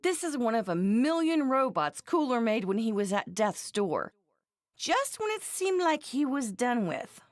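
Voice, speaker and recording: A woman's voice: a little high, big pitch swings, a little slow, no room sound, clean studio sound. An actress voicing a feisty young woman in an anime game, speaking bluntly and expressively with a scornful edge. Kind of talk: scripted game voice lines.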